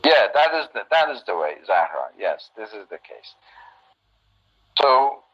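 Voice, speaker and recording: Slow, halting Iranian man teaching. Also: on the low side, very animated, fairly bright tone, slightly rough, muffled recording. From Iran. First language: Turkish. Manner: halting, many fillers and pauses. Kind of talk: online class talk to students.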